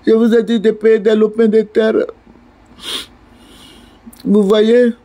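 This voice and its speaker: A man's voice: sits high, little pitch movement, slow, mellow. An older man from Côte d'Ivoire with an Ivorian French accent, making an indignant, pleading video address at night from indoors. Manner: indignant, pleading, repetitive appeals. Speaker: online commentator.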